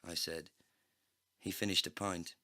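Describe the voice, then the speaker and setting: A man's voice: low-pitched, steady tone, unhurried, pushed and bright, no room sound, studio quality. A man delivering scripted first-person narration for a comedy story, speaking in character as the narrator.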